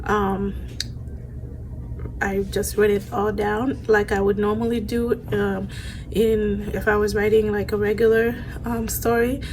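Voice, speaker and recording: A woman from Haiti, an author in her thirties, talking casually to a phone camera in a room with bookshelves, pausing often with ums and you-knows. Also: medium pitch, flat delivery, darkish tone, slight background noise. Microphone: phone camera at arm's length.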